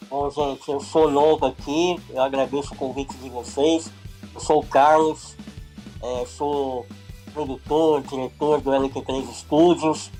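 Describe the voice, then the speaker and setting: A middle-aged man, a TV producer and director, talking in a relaxed, rambling way as a guest in a casual podcast interview. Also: medium pitch, a little slow, soft background music.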